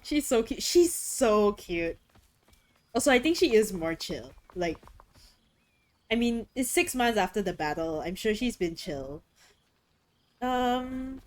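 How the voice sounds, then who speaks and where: A woman streaming a video game and chatting casually, excitable and swearing freely, her throat a little rough today. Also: mid-range voice, slow pace, long pauses. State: throat a bit rough, voice deeper than usual. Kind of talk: casual chat while gaming.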